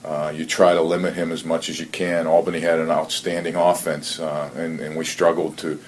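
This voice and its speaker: A man working as a football coach, giving earnest, measured interview answers on a conference call and often repeating his phrases. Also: rumbling low, flat delivery. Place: conference call, faint noise behind. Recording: noisy conference call audio.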